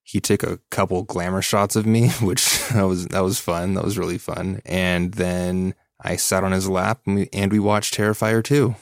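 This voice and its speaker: A man's voice: sits low, steady tone, normal speed, creaky, hardly any pauses, dry recording, studio quality. A man co-hosting a podcast and chatting casually, as if with a friend. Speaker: podcast co-host. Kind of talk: casual chat.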